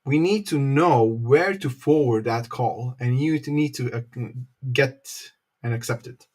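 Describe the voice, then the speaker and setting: A man presenting an informal live tech tutorial, explaining step by step in a halting way with frequent pauses. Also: medium pitch, darkish tone. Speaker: presenter, company developer advocate.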